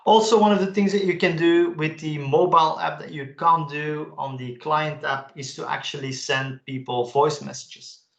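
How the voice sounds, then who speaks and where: Man, gravelly voice, casual software walkthrough, roomy echo. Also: on the high side, steady tone, normal speed. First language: Dutch. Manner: casual, enthusiastic, frequent "uh" fillers. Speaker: webinar presenter. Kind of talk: live software demo walkthrough.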